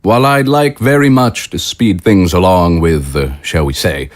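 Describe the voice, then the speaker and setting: An actor playing a grandiose, smoothly menacing government official in an audio drama, with formal, oratorical delivery. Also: male voice, sits low, normal speed, lightly acted. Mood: condescending. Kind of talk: scripted audio drama acting.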